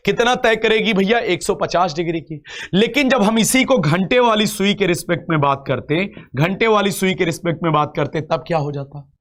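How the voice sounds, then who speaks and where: High-voiced man, coaching teacher, step-by-step lecture, repetitive phrasing, rhetorical questions. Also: moderate pace, a bit bright. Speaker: reasoning teacher for exam coaching. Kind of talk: live online lecture, explaining step by step.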